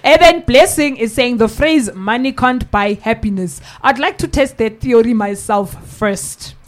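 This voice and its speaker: A South African woman hosting a radio show in a studio, reading listener comments with animated, laughing banter. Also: a little high. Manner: animated, laughing, drawn-out emphatic repeats.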